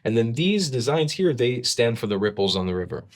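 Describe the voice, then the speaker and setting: A man's voice: medium pitch, big pitch swings, brisk. A man, a podcast guest, explaining with emphasis in conversation, often repeating words as he starts a sentence.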